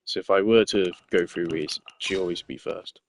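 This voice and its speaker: A man's voice: sits low, fairly even intonation, normal speed, a little hiss or hum. A man giving casual gameplay commentary as a streamer, rambling and thinking aloud. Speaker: game streamer.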